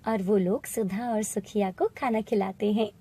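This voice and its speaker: A woman's voice: mid-range voice, steady tone, moderate pace, mellow, husky. A woman narrating a scripted moral story as the storyteller.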